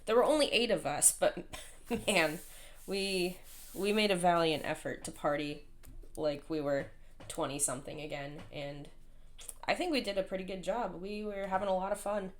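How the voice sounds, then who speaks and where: A woman in her thirties with a hoarse voice, chatting casually and rambling while she plans. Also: on the low side, fairly even intonation, normal speed. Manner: chatty, rambling, self-deprecating. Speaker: planner vlogger. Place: at home, indoors.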